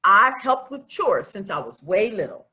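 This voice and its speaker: A woman plays an earnest, stubborn farm girl of about twelve telling her own storybook tale. The voice is bright and very animated in pitch. The telling is plain-spoken, folksy and confiding. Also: measured pace. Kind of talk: children's storybook narration.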